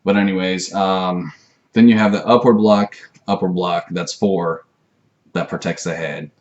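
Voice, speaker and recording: Male, very low register. Amateur tutorial host, unscripted, hesitant and self-effacing. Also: fairly even intonation, measured pace, a little mellow, dull audio. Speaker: amateur martial arts video maker. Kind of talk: rambling informal tutorial to camera. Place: messy room at home.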